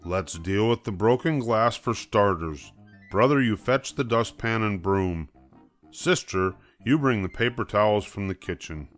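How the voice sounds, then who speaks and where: A man voicing a level-headed father bear in a storybook reading, calmly giving instructions. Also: lowish voice, unhurried, faint music behind. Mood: calm firm. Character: father bear, calm, practical, takes charge.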